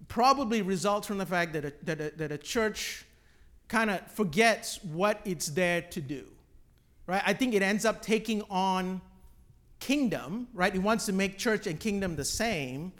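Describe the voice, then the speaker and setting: A man from Malaysia teaching a class informally, halting and repeating words, often checking in with "right?". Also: sits high, a bit bright. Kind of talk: informal teaching with questions from the group.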